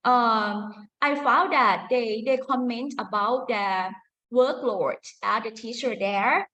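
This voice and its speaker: A woman with a Thai accent, a university lecturer giving a careful, halting academic conference talk with frequent restarts. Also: fairly high pitch, pushed and bright, rough recording. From Thailand. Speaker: university lecturer presenting research.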